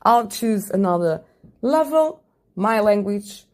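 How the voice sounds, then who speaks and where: A woman's voice: medium pitch, expressive, slow pace, a little mellow. Upbeat woman. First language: Portuguese. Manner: enthusiastic, upbeat. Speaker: teacher and channel host. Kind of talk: software tutorial voice-over.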